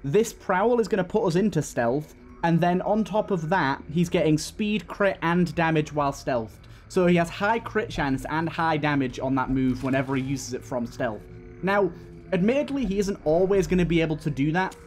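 Higher-voiced man commentating on a game. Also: moderate pace, a little hiss or hum. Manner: thinking aloud through tactics. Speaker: gaming channel host. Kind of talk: casual gameplay commentary. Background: game music.

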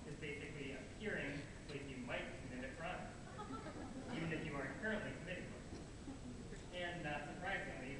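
A middle-aged American man, an attorney, delivering a prepared keynote speech at a conference. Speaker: human rights attorney, keynote speaker. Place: hotel conference room, indoors. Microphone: podium microphone, picked up by far camera. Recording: echoey hall from far off, loud rumbling noise.

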